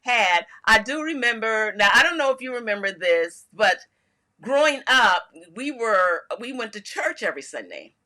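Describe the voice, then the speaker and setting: Loud, lively older woman storyteller. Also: fairly high pitch, normal speed. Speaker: podcast co-host. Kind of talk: casual storytelling chat.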